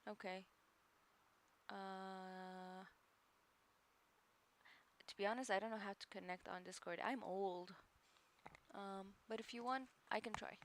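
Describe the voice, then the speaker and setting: A woman's voice: medium pitch, fairly even intonation, slow, pauses often, slight background noise. A woman hosting a casual live stream, chatting hesitantly with viewers and laughing often. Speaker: livestream host studying along.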